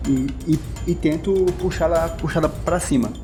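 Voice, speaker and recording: A man's voice: on the high side, moderate pace. A man playing a tabletop role-playing game, improvising his character's actions and hesitantly repeating words. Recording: music behind the voice.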